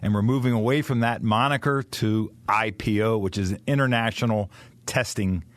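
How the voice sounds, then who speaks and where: A man with a fairly low voice explains working-dog trials in earnest, thorough detail. He is a professional trainer speaking as an interview guest. The sound is dry and close, with no room around it. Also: moderate pace, no room sound. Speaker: dog trainer, kennel owner.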